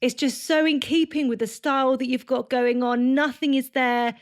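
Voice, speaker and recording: A woman's voice: high-pitched, fairly even intonation, moderate pace. A woman hosting a casual podcast interview, chatty and enthusiastic, speaking into a desk mic over a video call. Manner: chatty, enthusiastic, friendly questioning. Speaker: podcast host. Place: home room, indoors. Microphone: headphones with a large desk mic, video call.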